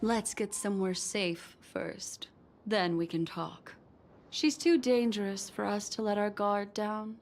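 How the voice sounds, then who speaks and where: An actress playing a cool, stern and suspicious woman in a video game, speaking in a measured, guarded, cutting way. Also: medium pitch, slight background noise. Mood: urgent, guarded. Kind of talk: dramatic video game voice acting. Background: faint background music.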